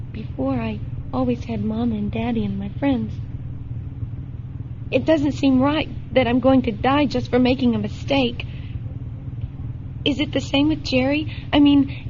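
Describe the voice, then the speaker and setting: An actress playing a frightened, lonely, naive young stowaway in a science fiction radio drama, speaking plaintively and earnestly. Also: female voice, high voice, dark tone, a little hiss or hum, natural voice. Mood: lonely, afraid. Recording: dull lo-fi radio drama, music underneath.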